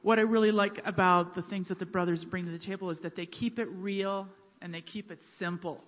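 A woman sharing an anecdote in a group, animated and laughing as she tells it. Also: on the low side, a little fast. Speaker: church group member. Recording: echoey hall, dull sound.

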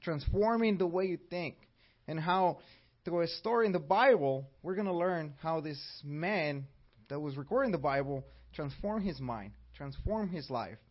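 A man's voice: on the high side, expressive, a little slow. A man from Mexico with a Mexican accent, teaching a church class and asking his audience questions in an upbeat way. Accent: Mexican Spanish. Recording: dull lo-fi recording.

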